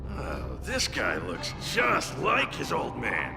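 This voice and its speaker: An actor playing a mischievous, mocking onlooker in a video game, with a sardonic, theatrical, gloating delivery. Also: male voice, medium pitch, huge pitch swings, slow, creaky, over music, full character voice. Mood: sardonic, musing. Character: mischievous, mocking intruder commenting inside someone's mind.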